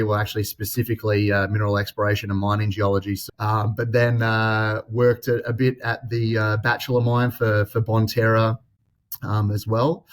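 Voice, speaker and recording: A man in his thirties with an Australian accent, a mining company's exploration vice president, giving an investor interview in a relaxed, friendly way with filler words. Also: lowish voice. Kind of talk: investor interview, casual explanation.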